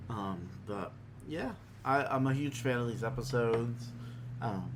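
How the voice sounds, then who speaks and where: A man with a slightly hoarse voice, hosting a podcast and chatting casually. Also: medium pitch, unhurried. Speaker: podcast host. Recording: noticeable background noise.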